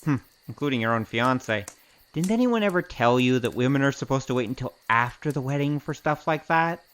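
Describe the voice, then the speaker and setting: A woman's voice: extremely low pitch, animated, a little fast. A woman acting in an audio drama as a wry, streetwise investigator with a cocky, teasing, casual manner.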